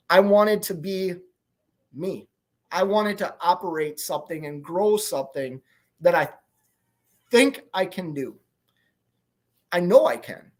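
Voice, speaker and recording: A man in his forties, a truck owner-operator, chatting candidly on a livestream in a rambling way and answering viewer comments. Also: a little high. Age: 40s. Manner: rambling, candid, repeats "you know what I mean". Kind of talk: casual livestream chat reading comments.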